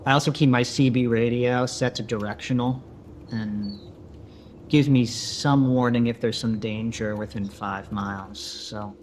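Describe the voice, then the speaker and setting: A man's voice: mid-range voice, fairly even intonation, a little slow, lightly acted. A man playing a weary lone survivor of an empty post-apocalyptic world, logging his journey in a subdued, matter-of-fact way. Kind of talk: found-footage horror narration. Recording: noisy found-footage audio with outdoor ambience.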